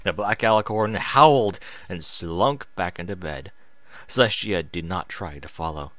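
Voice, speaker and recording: A man's voice: low voice, measured pace, a bit bright, dry recording. A man narrating a story in a dramatic reading style.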